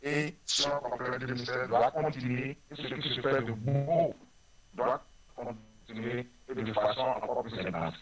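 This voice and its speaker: A man with a Beninese French accent answers questions as a party politician. His voice sits at a medium pitch. He speaks earnestly, building his points through rhetorical repetition. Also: fairly even intonation, measured pace, slight background noise, rough recording. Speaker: ruling-party politician interviewed by phone. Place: telephone call.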